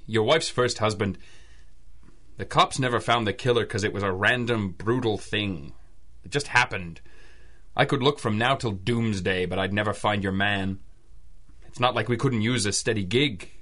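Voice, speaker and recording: A man's voice: lowish voice, a bit bright, natural voice. A man playing a world-weary, blunt private eye in an old-school radio detective drama, terse and wry. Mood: grave, consoling.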